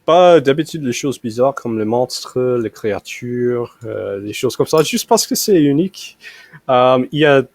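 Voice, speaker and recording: A young American man, a native English speaker, chatting in halting French as a language learner over a video call, with frequent fillers and restarts. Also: medium pitch, animated, vocal fry, darkish tone, dry recording. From United States. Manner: hesitant, many fillers and restarts. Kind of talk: casual conversation practice.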